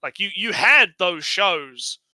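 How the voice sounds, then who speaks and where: Bright, forceful New man, blunt and sweary. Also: sits high, lively intonation, slow pace, dry recording. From New Zealand. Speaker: stream host. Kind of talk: casual critical commentary on a video.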